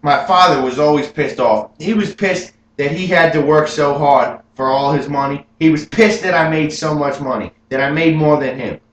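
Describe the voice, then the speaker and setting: A young man playing an adult gangster who proudly recalls his youth, telling it earnestly and rising with excitement, as a memorized film monologue. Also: a little high, moderate pace, hardly any pauses, pitched above the performer's own voice, natural voice. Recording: roomy room with faint hum.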